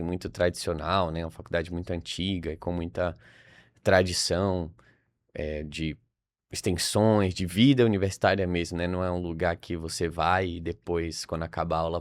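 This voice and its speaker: Deep-voiced young Brazilian man. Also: no echo. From Salvador, Brazil. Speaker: podcast guest, neurologist. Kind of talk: casual interview conversation.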